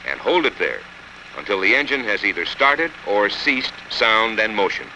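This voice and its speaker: An American man reading scripted instructional narration for a training film in a measured, instructive manner. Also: on the low side, sing-song, sharp tone, poor audio. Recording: 1960s film soundtrack, faint noise.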